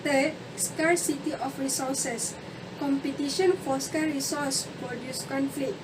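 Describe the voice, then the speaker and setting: A woman in her twenties from Malaysia, reading a class presentation aloud in a steady way. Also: sits high. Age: 20s. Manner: steady, read-aloud delivery. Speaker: student presenter. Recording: distant mic with noise.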